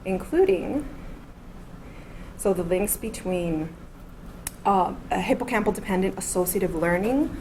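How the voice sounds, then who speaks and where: A woman's voice: mid-range voice, expressive, measured pace, pauses often, a little mellow, slight background noise, rough recording. A woman in her thirties from Miami, a postdoctoral researcher giving an academic talk. She explains her points step by step and works through them in order. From Miami, United States. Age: 30s. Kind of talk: academic lecture. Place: lecture room.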